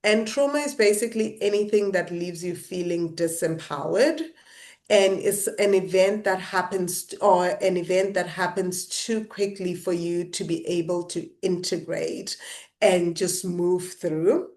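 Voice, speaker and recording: A young adult woman from South Africa, a guest author explaining her ideas in a lively, laugh-filled online conversation. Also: lowish voice, a little slow. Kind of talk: webinar conversation.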